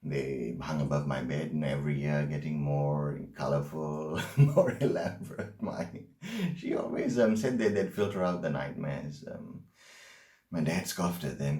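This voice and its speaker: Casting: male actor for unsettling, stammering male confessor in a dramatic monologue, low-voiced, recorded in an echoing room. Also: a little mellow. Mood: uneasy, confiding. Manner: halting, stammering, intense storytelling. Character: Brocky, unsettling haunted man confessing his troubled loves.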